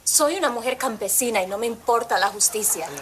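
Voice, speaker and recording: An actress playing a bitter, world-weary middle-aged Mexican widow in a radio drama. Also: female voice, on the high side, fast talker, fairly bright tone, a little hiss or hum, lightly acted. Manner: weary, embittered.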